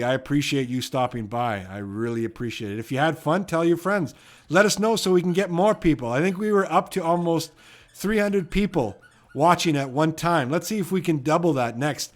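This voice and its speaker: A man from Edmonton with a Canadian accent, hosting a trivia live stream in a loose, rambling way and reading out viewer comments. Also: on the high side. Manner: chatty and rambling, many fillers and "you know what".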